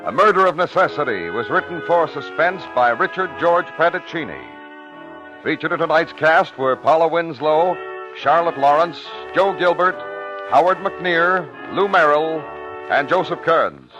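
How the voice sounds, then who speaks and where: A man with an American accent announcing a program in formal, measured, dramatic 1950s radio style. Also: on the high side, lo-fi sound, over music.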